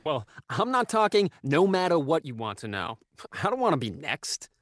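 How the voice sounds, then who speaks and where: An actor playing a surly, uncooperative gardener in a radio murder mystery, gruff and combative with colloquial speech. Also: male voice, fairly high pitch, big pitch swings, normal speed, fairly bright tone. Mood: indignant.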